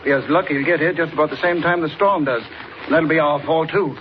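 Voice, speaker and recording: An actor playing a brisk, worried airfield chief in a 1940s radio serial. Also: male voice, on the high side, fairly even intonation, close to an everyday voice. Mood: concerned. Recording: muffled, noisy vintage radio recording.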